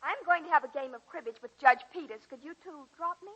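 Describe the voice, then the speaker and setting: Bright, coquettish young American woman. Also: sits high, quick pace. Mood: breezy. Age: young adult. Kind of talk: 1940s radio drama acting. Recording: faintly noisy vintage radio recording.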